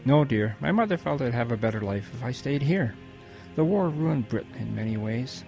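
A man playing a wistful old grandfather in an audio drama, telling his wartime boyhood in a gentle, reflective way with a husky voice. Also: medium pitch, animated, normal speed, soft background music.

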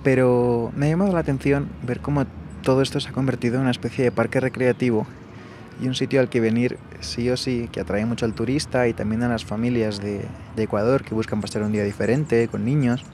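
A young man from Spain with a Barcelona Spanish accent, telling his travel diary in a calm, thoughtful and personal way. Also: medium pitch, fairly quick, a little hiss or hum. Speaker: travel vlogger. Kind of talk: reflective travel diary narration. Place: small bedroom in a hostel.

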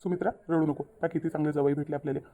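A woman voicing a calming middle-aged village father in a cartoon story, consoling and repetitive. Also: low voice, rapid-fire, phone-quality sound, a little hiss or hum, close to an everyday voice. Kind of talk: amateur cartoon story dialogue.

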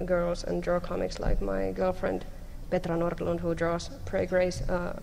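A woman's voice: lowish voice, monotone, measured pace, vocal fry, a little mellow. A young woman talking casually as an artist on a panel, into a table microphone on a stage. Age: young adult. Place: convention panel stage before an audience. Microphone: table microphone on stand. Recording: noisy live stage microphone.

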